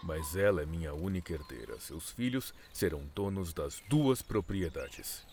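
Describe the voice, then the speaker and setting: Calculating middle-aged Brazilian father, low rumbling voice, ingratiating, old-fashioned radio drama, some background noise. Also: moderate pace. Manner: flattering, formal old-fashioned speech. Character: obsequious middle-aged male landowner, calculating father of the bride. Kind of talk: radio soap opera acting.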